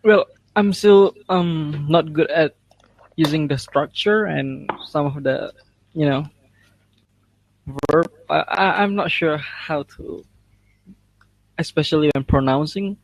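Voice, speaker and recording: Higher-voiced Indonesian man, modest and polite. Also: takes it slowly, gravelly, long pauses, poor audio. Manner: polite, modest, searching for words. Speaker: guest participant. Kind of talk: casual chat in learner's English.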